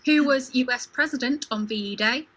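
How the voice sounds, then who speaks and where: Casting: female quiz host, higher-pitched and bright, informal and chatty delivery. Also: normal speed. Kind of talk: casual livestream quiz chat. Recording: home livestream video call.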